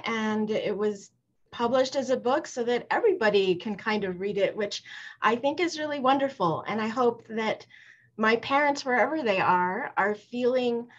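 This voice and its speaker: A middle-aged woman with a slightly high voice talks in a reflective, personal way. The video call makes her sound a little dull. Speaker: author, panel guest. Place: video call from a room at home.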